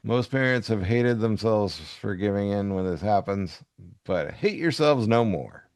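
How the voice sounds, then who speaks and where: Smooth, low-voiced man, casual. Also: lively intonation, unhurried, a little mellow, no echo, studio quality. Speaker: podcast co-host. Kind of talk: casual commentary and reading aloud.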